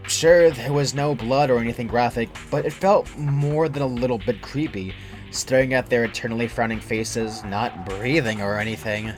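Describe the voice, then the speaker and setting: A man's voice: mid-range voice, a bit bright. A man reading a scripted first-person horror story as its narrator. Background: background music.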